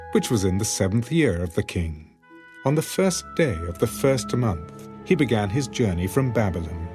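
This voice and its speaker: A man with a low voice narrates scripture in a solemn, deliberate way. His intonation moves widely, as befits a dramatised audiobook, and music sits underneath.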